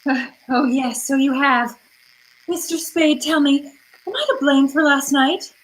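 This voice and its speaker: Woman playing a high-pitched, pleading femme fatale. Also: lively intonation, measured pace, fairly bright tone, rough recording. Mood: flustered. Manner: fluttery, hesitant, melodramatic. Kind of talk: old-time radio noir acting.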